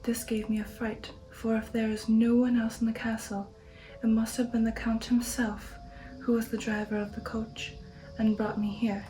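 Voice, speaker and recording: Softly whispering ASMR woman, breathy and hushed. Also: on the high side, little pitch movement, normal speed, faint music behind, poor audio. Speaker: ASMR channel host reading a novel aloud. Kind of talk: whispered ASMR audiobook reading.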